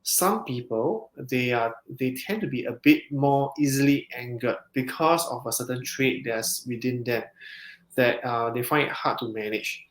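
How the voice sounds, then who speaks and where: A man, a counselling psychologist, explaining in a measured way in a podcast interview, with frequent restarts. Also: medium pitch, fairly bright tone. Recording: roomy echo.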